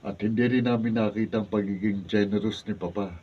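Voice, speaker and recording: A man's voice: lowish voice, fairly even intonation, brisk, close to an everyday voice. A man playing a contrite grown son. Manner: contrite, apologetic. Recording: muffled and distant.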